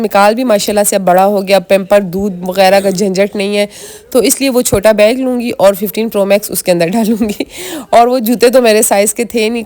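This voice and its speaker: A hoarse woman vlogger in her thirties, from Pakistan, talking in a chatty and easy way straight to her viewers. Her pitch sits in a plain middle range. Her voice is a little rough from a sore throat. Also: darkish tone. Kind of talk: casual vlog narration.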